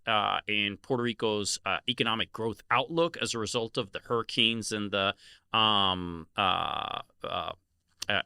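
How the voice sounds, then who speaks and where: A middle-aged man co-hosting a podcast, talking in a relaxed, earnest way with many fillers. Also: on the low side, smooth, no echo, studio quality. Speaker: podcast co-host. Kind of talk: casual podcast discussion.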